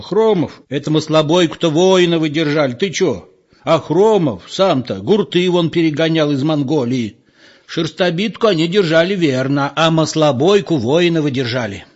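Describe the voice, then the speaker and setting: A man's voice: a little high, voice pushed up. A man voicing a chatty, argumentative old villager in an audiobook, contradicting and reminiscing in folksy colloquial speech. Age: older. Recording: muffled, lo-fi recording.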